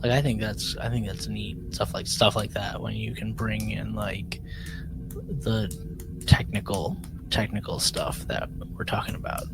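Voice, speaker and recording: A man's voice: fairly low pitch, steady tone, measured pace, music in the background, rough recording. A man co-hosting a podcast in informal chat, often repeating his phrases. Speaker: podcast co-host. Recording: clearly audible background noise.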